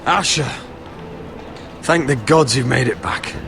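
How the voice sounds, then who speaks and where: A man's voice: mid-range voice, slow, noisy background. A man playing a stern, wounded young lord in a dramatic fantasy game, commanding and intense. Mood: grave. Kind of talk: dramatic video game acting. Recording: loud music under the voice.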